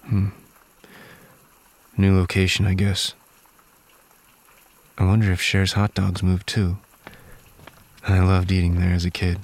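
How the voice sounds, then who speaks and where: A withdrawn young man thinking aloud in an audio drama. His low voice is gravelly and husky, with a dry, understated delivery that stays nearly flat. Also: slow, long pauses, exaggerated voice. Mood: wary, musing. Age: young adult. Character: weary, withdrawn young man narrating his inner thoughts. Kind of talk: audio drama inner monologue.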